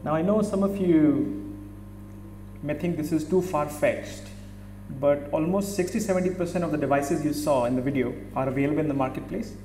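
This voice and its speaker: A man's voice: on the high side, moderate pace, mellow, faint music behind. A middle-aged man from India giving a conference keynote from a podium on a large auditorium stage, asking the audience questions and correcting himself as he goes. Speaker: keynote speaker. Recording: echoey hall, faint hum.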